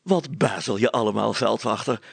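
A man's voice: fairly low pitch, normal speed, vocal fry, husky, no room sound, heavily acted. A man voicing a self-assured, genial town mayor in a storybook, slightly patronising. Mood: kindly. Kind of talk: dramatized storybook reading.

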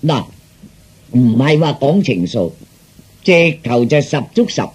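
A man voicing a glib, flattering fortune teller in Cantonese, with theatrical sales patter. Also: medium pitch, slow pace, slightly rough, exaggerated voice. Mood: scheming, persuasive. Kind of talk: Cantonese storytelling performance.

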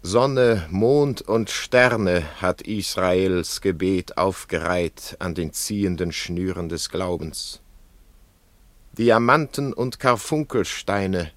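Very deep, very slow solemn prophet. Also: male voice, no echo. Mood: prophetic, insistent. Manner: incantatory, deliberate, emphatic. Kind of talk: solemn poetic verse drama declamation.

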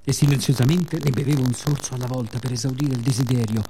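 A man delivers a scripted radio theatre monologue as a storyteller, in a measured, reflective, dramatic way with pauses. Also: medium pitch, steady tone, dark tone, slight background noise.

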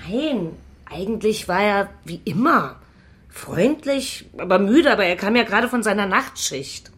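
An actress playing an ordinary bakery worker in a radio crime drama, talking in a hesitant, chatty way. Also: female voice, medium pitch, animated, measured pace, natural voice. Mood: hesitant, concerned. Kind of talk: naturalistic German radio play dialogue.

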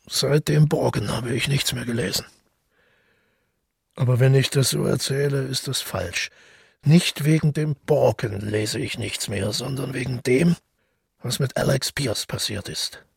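A male actor playing a brooding, self-doubting former stage actor in a radio drama monologue, musing haltingly in a husky, breathy voice. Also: medium pitch, dry recording, clean studio sound, exaggerated voice. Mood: troubled confession. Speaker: narrator and lead of a radio play. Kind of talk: intimate first-person radio drama monologue.

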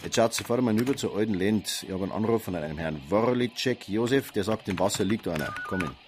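An actor playing a businesslike Bavarian police dispatcher, brisk, insistent and colloquial, in a naturalistic radio drama. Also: male voice, on the low side, lightly acted. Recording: noisy station with phone clicks.

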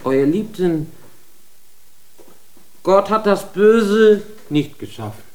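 A man's voice: high voice, sing-song, slow, darkish tone, exaggerated voice. An actor playing a humble, frightened man accused before a church tribunal, pleading and deferential in a radio drama.

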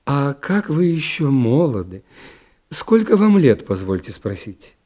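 A man's voice: mid-range voice, sing-song, measured pace, dark tone. Man playing a blunt, prying older princess. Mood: curt, inquisitive. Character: blunt, unkempt princess of about fifty.

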